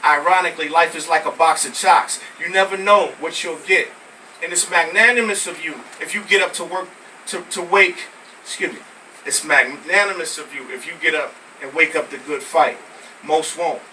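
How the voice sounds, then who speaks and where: An American man from Brooklyn performs spoken word poetry in an emphatic, deliberate way. His voice is bright and slightly high. He is recorded at some distance from the mic, in a live venue. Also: slight background noise. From Brooklyn, New York, United States.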